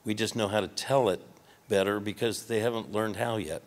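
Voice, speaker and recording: A man's voice: lowish voice, steady tone, moderate pace. An older man with a Midwestern American accent giving a casual, folksy conference talk from a podium with a handheld mic, often restarting his sentences. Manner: conversational, folksy, trailing restarts. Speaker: award recipient speaker. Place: large hotel ballroom stage. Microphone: handheld microphone at a podium.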